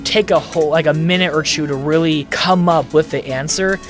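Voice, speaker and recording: A man's voice: on the high side, brisk, soft background music. A man hosting a podcast who explains things step by step in a loose, chatty way. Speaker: podcast host. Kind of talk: casual podcast chat.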